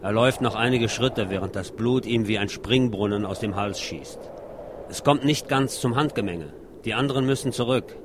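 A man reads literary prose aloud in a low-pitched voice. Clear urban noise, like passing vehicles, runs behind his reading. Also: normal speed. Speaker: reader. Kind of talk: literary reading of a war novel.

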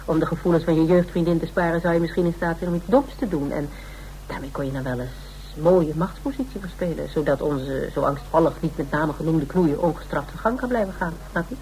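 An actress plays a shrewd female detective in a radio drama. Her voice sits fairly low, and she talks in a businesslike, lecturing, self-assured way that trusts nobody. The recording has some faint noise. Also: a little fast, dark tone, close to an everyday voice. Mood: businesslike, wary. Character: businesslike, shrewd, distrustful female private investigator. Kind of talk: Dutch radio play acting. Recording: noisy radio play recording.

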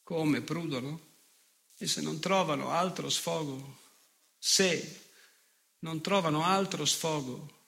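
A bitter, sneering old fascist. His voice is piercing and tense, pitched a little high for a man. He declaims a theatrical monologue with sardonic menace, repeating phrases and pausing for effect. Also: creaky, bright, forceful tone, full character voice. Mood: menacing.

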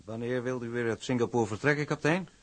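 An actor playing a dry, knowing, brisk port official in a radio drama. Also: male voice, medium pitch, a little hiss or hum, natural voice. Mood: matter-of-fact. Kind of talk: Dutch radio drama acting.

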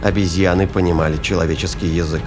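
A man with a fairly low voice narrates a science-fiction thriller. His delivery is formal, measured storytelling, set against clearly audible music. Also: steady tone.

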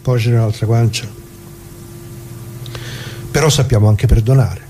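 An actor playing an anguished, plain-spoken ordinary man who muses haltingly and repeats himself in a theatrical radio monologue. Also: mid-range voice, steady tone, warm tone, slight background noise, close to an everyday voice. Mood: pained, reflective. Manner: halting, musing, rhetorical questions, repeats himself.

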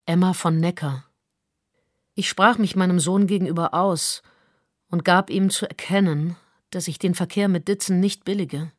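Actress as stern, lowish-voiced disapproving mother. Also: fairly even intonation, moderate pace, dry recording, studio quality, lightly acted. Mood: cool disapproval. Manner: formal, composed, disapproving. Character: disapproving, stern upper-class mother giving testimony. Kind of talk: radio play testimony.

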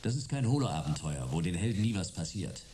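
Wry, stubborn middle-aged gumshoe for sci-fi radio play; low-pitched, dark and mellow. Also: male voice, sing-song, a little fast, a little hiss or hum. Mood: stern, stubborn. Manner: laconic, ironic. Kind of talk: German sci-fi radio drama acting.

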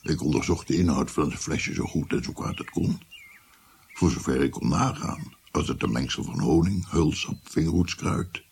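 An actor playing a melancholy old doctor who tells of his past in a radio drama, with a gravelly, husky voice. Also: extremely low pitch, moderate pace, darkish tone, slight background noise. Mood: reflective.

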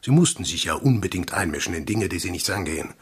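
An actor playing a gruff, weary, commanding intelligence chief in a German radio drama, terse and deliberate, with a husky, gravelly voice. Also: male voice, deep voice, animated, full character voice. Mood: reproachful.

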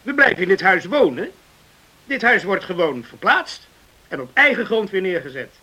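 High, sharp, spirited young farmer. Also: male voice, big pitch swings, moderate pace, breathy edge, full character voice, poor audio. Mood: earnest, reassuring. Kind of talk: Dutch radio drama acting.

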